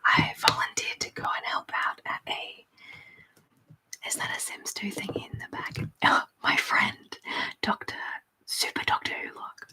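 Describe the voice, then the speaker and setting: Whispering Australian woman, bright and breathy ASMR. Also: a little slow, low-quality recording. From Canberra, Australia. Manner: rambling, repeats words softly. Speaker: ASMR livestream host. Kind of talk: whispered ASMR, casual live chat.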